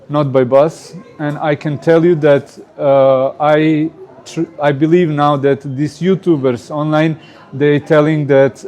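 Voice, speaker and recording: Croatian-accented man, mellow voice. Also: fairly high pitch. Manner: hesitant, many uh fillers, non-native phrasing. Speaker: guest. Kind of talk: casual interview answers.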